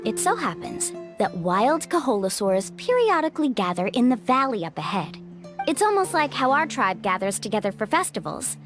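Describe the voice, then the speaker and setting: A peppy confident young guide girl in a game, highish voice, swoopy and friendly, explains stuff. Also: sing-song, normal speed, over music. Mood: explaining. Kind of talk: video game voice acting.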